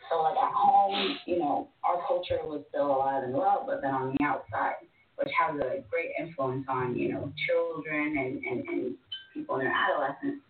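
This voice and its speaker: Low-voiced woman on a tinny phone. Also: moderate pace, noisy background. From born in North Sudan, moved to the States at six. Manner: hesitant, thoughtful, frequent "like". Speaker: caller to a talk show. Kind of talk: casual, reflective conversation. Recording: tinny, roomy phone line, distant.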